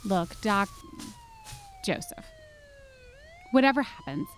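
A woman acting a wry, practical, steady companion in a science fiction audio drama. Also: sits high, slow, slight background noise, full character voice. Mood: dry, practical.

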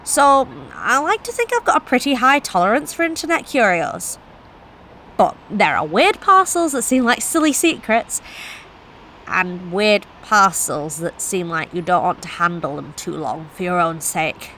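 An actress playing a delivery driver who tells a horror story in the first person, wry and confiding. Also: female voice, mid-range voice, sing-song, measured pace, pushed and bright, a little hiss or hum, exaggerated voice, rough recording. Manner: wry, confiding, self-deprecating. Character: wry, uneasy woman courier.

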